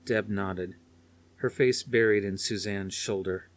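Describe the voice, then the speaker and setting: A man's voice: lowish voice, fairly even intonation, a little slow, no room sound. A man reading a scripted story as an audiobook narrator.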